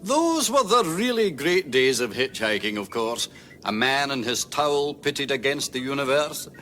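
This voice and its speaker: Chatty, excitable space crewman. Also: mid-range voice, normal speed, faint music behind. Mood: nostalgic, rambling. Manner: garrulous, incredulous, eager. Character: chatty, deferential, nosy freighter crewman. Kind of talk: British radio comedy drama acting.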